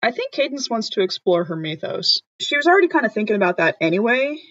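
A woman's voice: a little high, very animated, fast talker, a bit bright, slightly rough, no echo. A woman playing a tabletop game, chatting casually as she thinks aloud about her character, on a slightly muffled microphone.

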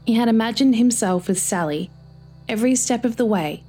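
A woman reading a scripted short story as narrator, in a measured storytelling manner. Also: on the high side, expressive, dark tone, breathy edge, soft background music.

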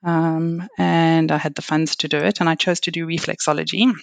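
A middle-aged woman from South Africa with a South African accent, a guest chatting reflectively with frequent fillers and soft laughs. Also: low voice, flat delivery, moderate pace, vocal fry, no echo. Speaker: guest, reflexology and Reiki practitioner. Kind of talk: casual interview chat.

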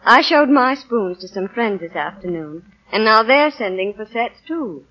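An actress voicing a delighted housewife giving a product testimonial in a 1940s radio advertisement. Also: female voice, on the high side, expressive, unhurried. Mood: pleased. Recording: dull 1940s radio broadcast recording.

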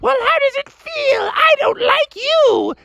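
An angry toy python come to life in a storybook. The snake taunts and shouts in a shrieking, very high voice. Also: male voice, slow pace, creaky, dry recording, exaggerated voice. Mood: vengeful. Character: aggrieved living toy python, menacing, shrill.

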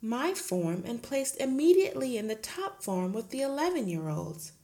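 A woman voicing a gentle, earnest young teacher who pleads softly and politely. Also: fairly high pitch, very animated, normal speed, voice pushed up.

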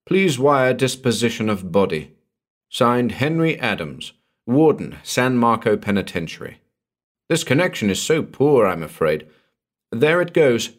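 A man voicing a cool, formal telephone caller who reads out a telegram in a measured way. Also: medium pitch, sing-song, pitched above the performer's own voice.

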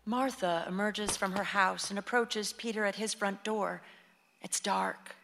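A woman's voice: mid-range voice, steady tone, unhurried, vocal fry, a bit bright. Female narrator, reverberant and slightly noisy. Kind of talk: scripted narration of stage directions. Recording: echoey hall with faint noise.

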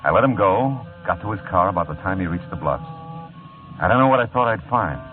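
A wry, hard-boiled private detective narrating with dry, world-weary wit. His low voice swings lively through each line. Music plays under the tinny sound of an old radio broadcast. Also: brisk, a little hiss or hum. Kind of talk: 1940s radio acting.

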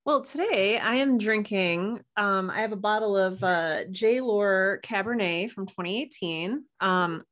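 A woman's voice: medium pitch, unhurried, few pauses, a bit bright. A woman from the United States talking as a podcast guest, chatty and explanatory in a casual interview.

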